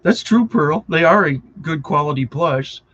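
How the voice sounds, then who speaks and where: A man's voice: on the high side, animated, normal speed, a bit bright, lo-fi sound. A middle-aged man with a Wisconsin accent, chatting casually and rambling on a live stream, often distracted and chuckling.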